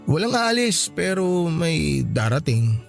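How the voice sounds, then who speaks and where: Alanganing mister, mataas ang boses. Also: male voice, on the high side, big pitch swings, slow pace, vocal fry, full character voice. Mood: nervous, hesitant. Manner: hesitant, defensive, placating. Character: apologetic, pleading young husband torn by family loyalty. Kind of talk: Tagalog radio drama acting. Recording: music behind the voice. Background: music.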